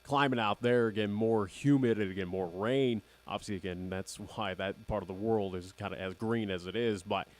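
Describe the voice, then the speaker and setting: A young man hosting a radio show in casual chat, slightly raspy and nasal, rambling and repeating "again" constantly. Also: on the low side, normal speed, few pauses. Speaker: radio host.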